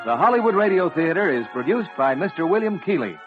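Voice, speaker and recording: A man's voice: sits high, very animated, quick pace. An American man reading scripted announcements in the style of a 1950s radio announcer. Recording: muffled 1950s radio recording, music.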